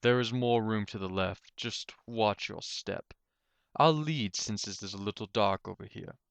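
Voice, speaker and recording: An easygoing male pegasus from a pony storybook, voiced by a man reading aloud. He sounds casual and teasing, with a smooth, fairly low voice close to the mic and no room echo. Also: measured pace. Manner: casual, lightly amused.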